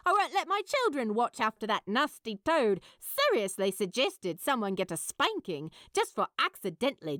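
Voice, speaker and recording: A woman's voice: fairly high pitch, pushed and bright, no room sound, clean studio sound. A woman voicing an indignant parent who complains and scolds from a TV audience in an audiobook. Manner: rapid-fire complaining.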